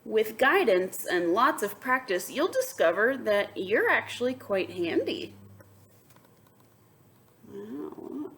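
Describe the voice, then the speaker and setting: A woman teacher reading a picture book aloud to young students, expressive, moving between emphatic reading and soft, wondering asides. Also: fairly high pitch, takes it slowly, slight background noise. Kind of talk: children's read-aloud with asides and questions to students.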